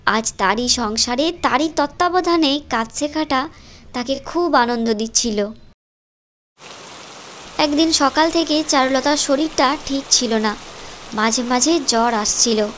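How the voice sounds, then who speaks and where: A woman's voice: high-pitched, measured pace, long pauses, fairly bright tone, a little hiss or hum. A woman reading scripted storytelling narration for a moral cartoon. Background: music.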